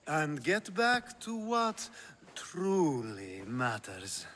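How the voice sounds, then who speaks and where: An actor playing a flamboyant, playful hedonist in a video game, languid and flirtatious in delivery. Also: male voice, on the high side, huge pitch swings, drawn-out words, fairly bright tone, slight background noise, heavily acted. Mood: bored, teasing. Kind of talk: theatrical video game acting. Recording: long hall reverb, music behind.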